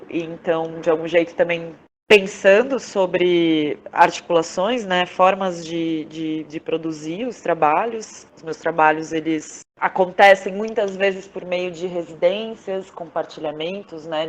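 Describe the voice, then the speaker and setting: A Brazilian woman from Porto Alegre speaking reflectively as an interview guest, halting and searching for her words. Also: low-pitched, low-quality recording. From Porto Alegre, southern Brazil. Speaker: podcast guest, theatre director, playwright and actress.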